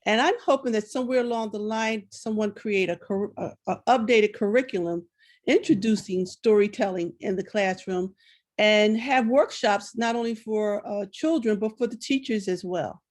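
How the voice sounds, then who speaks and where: A woman's voice: medium pitch, normal speed, darkish tone. A woman audience member commenting in an online discussion, enthusiastic and repeating words for emphasis. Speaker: audience member asking a question.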